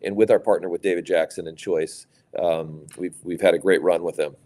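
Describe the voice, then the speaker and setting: Middle-aged man from Louisiana, low and mellow, measured guest answering questions. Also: fairly even intonation. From Louisiana, USA. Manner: measured, many fillers and restarts. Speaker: private equity executive, guest. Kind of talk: conversational interview answers.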